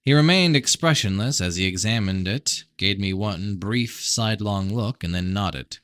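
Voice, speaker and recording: Male amateur audiobook reader, lowish voice, casual storytelling, very dry close recording. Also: moderate pace, a bit bright, dry recording. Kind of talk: casual aside and novel narration.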